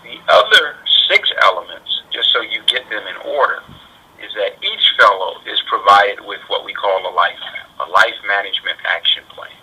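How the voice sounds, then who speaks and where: Man, American accent, low husky voice, measured explanatory delivery, telephone interview. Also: expressive, sharp tone. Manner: deliberate, measured, emphatic explanations. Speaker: interviewee, community safety director. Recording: radio-like telephone line.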